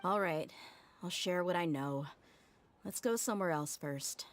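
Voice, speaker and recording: A woman as a low-voiced blunt mercenary leader. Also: a little slow, natural voice. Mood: guarded. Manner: frank, guarded, casual slang. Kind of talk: game cutscene dub.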